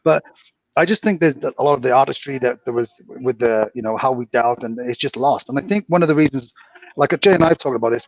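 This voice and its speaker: Gravelly Welsh man, animated podcast chat. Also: mid-range voice, no room sound. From Wales. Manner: animated, exclaiming storyteller. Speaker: podcast host, casino dealer. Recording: dull, dry lo-fi sound.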